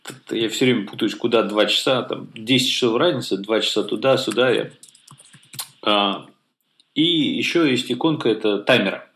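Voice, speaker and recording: A man's voice: lowish voice, normal speed, a bit bright. A man co-hosting a casual tech podcast, talking with many fillers and restarts. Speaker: podcast co-host.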